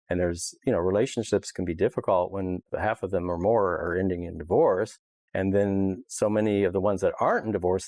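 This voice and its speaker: A man's voice: low voice, a little mellow, no echo, clean studio sound. A man talking as a podcast guest in a casual, unscripted, rambling and informal way. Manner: rambling, informal, peppered with "you know". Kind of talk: casual unscripted interview talk.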